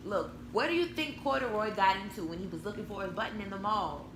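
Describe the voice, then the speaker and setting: A woman reading a storybook aloud to young children, expressive, pausing to explain and ask simple questions. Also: medium pitch. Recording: echoey room with background noise.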